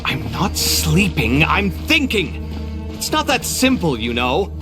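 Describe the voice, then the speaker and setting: A man voicing an earnest, excitable, slightly petulant young man in a video game, expressive and impulsive. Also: a little high, slow, over music, exaggerated voice. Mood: defensive.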